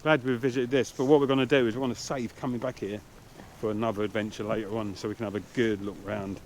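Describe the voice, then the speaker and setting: A man's voice: fairly low pitch, level tone, fast, a little hiss or hum. A man hosting a travel vlog, chatting casually to his viewers.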